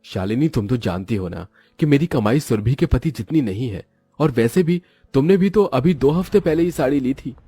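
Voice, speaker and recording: A man's voice: medium pitch, animated, normal speed, dark tone, husky, no echo, soft background music. A man playing a modest, patient husband of small means in a cartoon story. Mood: hurt, reasoning. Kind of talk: cartoon voice acting.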